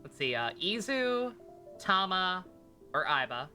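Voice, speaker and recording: A woman's voice: lowish voice, big pitch swings, slow, pushed and bright. A woman live-streaming a game with casual, joking, sweary, rambling commentary. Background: game music.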